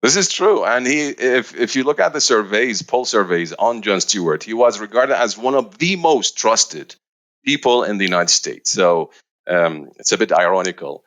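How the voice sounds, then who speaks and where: A man's voice: lowish voice, lively intonation, normal speed, fairly bright tone. A middle-aged man from Iraq, a researcher answering interview questions with many fillers and restarts. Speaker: researcher guest.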